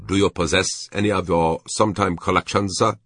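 A man's voice: low voice, steady tone, brisk, a bit bright, husky, no room sound, studio quality, pitched above the performer's own voice, exaggerated voice. A man voicing a formal, curious Japanese retired army officer in an audiobook, stiffly polite and precise. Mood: eager, polite.

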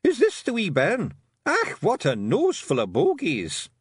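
A brash little pixie chief barks orders in a thick Scottish accent, as a man voices him. His voice is pushed up high and swings wildly, with a dry, close sound. He is loud, boastful and bossy. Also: huge pitch swings, measured pace, slightly rough, dry recording, exaggerated voice. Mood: blustering. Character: boisterous tiny male pixie clan leader.

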